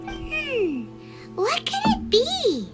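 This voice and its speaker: A woman voicing a tiny, chirpy, inquisitive childlike fairy companion with a squeaky voice in an animated video game. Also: extremely slow, music in the background, heavily acted. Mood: puzzled, chirpy. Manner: exclamatory, refers to itself in third person.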